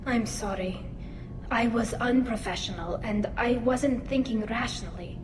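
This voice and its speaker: A woman's voice: a little high, unhurried, noisy background, natural voice. Earnest, hesitant masked alien woman, acted, slight echo. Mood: earnest, anxious. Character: earnest, self-conscious young alien woman in a mask. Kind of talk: cinematic sci-fi game acting. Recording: loud music behind, slight echo.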